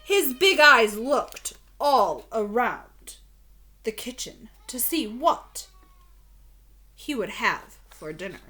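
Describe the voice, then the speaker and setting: A woman teacher reading a children's story aloud, expressive and deliberate, prompting young listeners with questions. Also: a little high.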